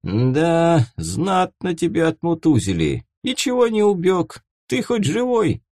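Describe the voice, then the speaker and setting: A man voicing a wry, gruff bearded peasant in an audiobook, muttering in folksy rustic speech. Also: a little high, wildly swooping pitch, slow pace, a little mellow, no room sound, studio quality, pitched above the performer's own voice, full character voice. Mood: muttering, pitying.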